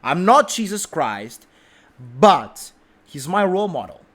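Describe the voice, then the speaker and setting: A man's voice: high voice, sing-song, slow, fairly bright tone. A man sitting on his sofa at home, delivering an unedited vlog monologue in a brash, self-assured way, rambling with restarts and the odd swear word. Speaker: vlog host.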